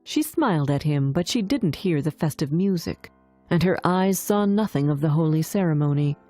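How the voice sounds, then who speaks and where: A woman's voice: deep voice, animated, moderate pace, warm tone, soft background music. A woman narrating a scripted fairy tale as a storyteller for language learners.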